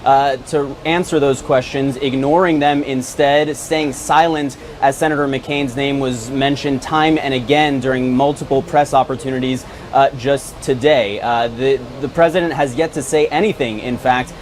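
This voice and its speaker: American man reporting live, mid-pitched voice. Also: fairly even intonation, normal speed, hardly any pauses. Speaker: TV news correspondent. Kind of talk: live broadcast news report.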